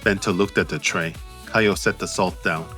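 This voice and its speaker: A man narrating his own audiobook in a measured, understated way. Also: low voice, fairly even intonation, sharp tone, faint music behind. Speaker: author and narrator.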